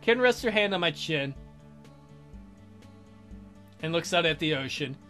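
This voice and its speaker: A man's voice: fairly high pitch, animated, measured pace, bright, forceful tone. A man hosting a let's play, reading a visual novel aloud and adding crude, irreverent asides. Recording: voice over game music. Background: game music.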